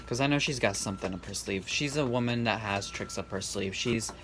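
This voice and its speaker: A man giving a casual tarot reading in a calm, serene, monotone way. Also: fairly low pitch, fairly quick. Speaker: tarot reader. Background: faint background noise.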